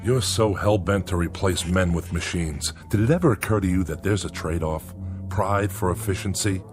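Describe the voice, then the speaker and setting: An actor in a radio drama playing a principled, embittered male plant manager, speaking gravely and earnestly. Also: low-pitched, normal speed, over music, close to an everyday voice. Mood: indignant.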